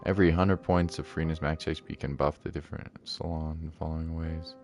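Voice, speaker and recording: A man's voice: a bass voice, level tone, quick pace, a little mellow, soft background music. A man giving laid-back gaming commentary in casual, muttered asides. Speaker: gamer streaming a playthrough.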